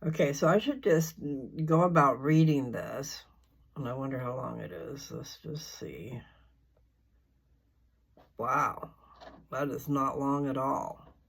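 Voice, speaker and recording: An older speaker chatting casually and informally in a folksy, meandering way, often halting to search for words. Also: mid-range voice, lively intonation, creaky, husky. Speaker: host of a scripture reading channel. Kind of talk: rambling casual chat before a reading.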